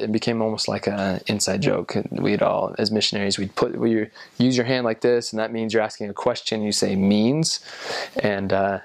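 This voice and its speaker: A young man and former missionary talking casually to the camera, rambling and halting with lots of ums. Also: on the low side, creaky, dry recording. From United States. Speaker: returned missionary.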